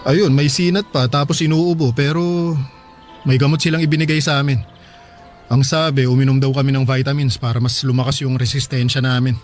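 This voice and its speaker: A man's voice: medium pitch, fairly even intonation, brisk, soft background music, close to an everyday voice. A man acting a tired but reassuring father caring for his sick child in a radio drama. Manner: reassuring, weary, plain-spoken.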